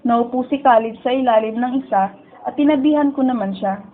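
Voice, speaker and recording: A woman's voice: fairly high pitch, brisk, narrow, tinny audio, close to an everyday voice. A woman with a Filipino accent playing an emotional, pleading young woman who narrates and speaks in a tender, heartbroken radio drama. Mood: sorrowful, reflective. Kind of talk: dramatic first-person narration and dialogue.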